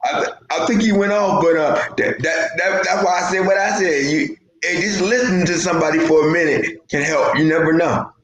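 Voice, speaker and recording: A man in his forties in a casual video call chat, easygoing and quick to agree and laugh. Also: on the high side, fairly bright tone, reverberant, poor audio. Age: 40s.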